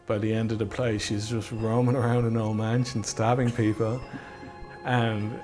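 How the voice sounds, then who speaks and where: A man with a fairly low voice talks in a reflective documentary interview. He is thoughtful and halting, circling back over phrases as he searches for the next one. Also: flat delivery, a little mellow, soft background music. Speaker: writer and director.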